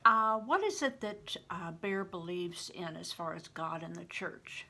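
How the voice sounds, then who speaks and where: A woman's voice: on the low side, a little slow, vocal fry, sharp tone. An older woman, a grandmother reading a story aloud and talking it through in an informal, explanatory way. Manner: explanatory, poses questions to listeners.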